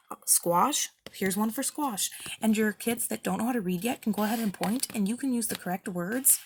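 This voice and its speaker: A woman's voice: on the low side, vocal fry, a little hiss or hum. A woman giving a casual, explanatory product review as a parent, talking informally and with enthusiasm.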